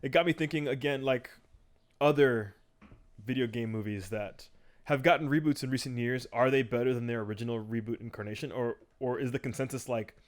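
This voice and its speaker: A man's voice: medium pitch, a little fast. A man hosting a casual podcast chat, rambling and joking as he introduces topics. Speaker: podcast host.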